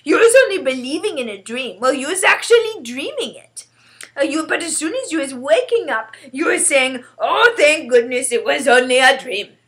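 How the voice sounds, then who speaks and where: Woman as a big stubborn male giant, gloomy, emphatic, muddled grammar, forceful tone, storybook reading. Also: sits high, expressive, moderate pace, pitched above the performer's own voice. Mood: scornful. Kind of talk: storybook character voice.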